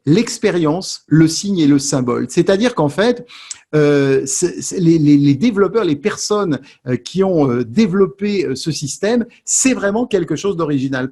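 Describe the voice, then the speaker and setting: A man delivers an explanatory talk in a distinctly high voice with a husky edge. He teaches in a didactic way, setting out questions and then answering them for his audience. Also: darkish tone. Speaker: presenter giving an online talk.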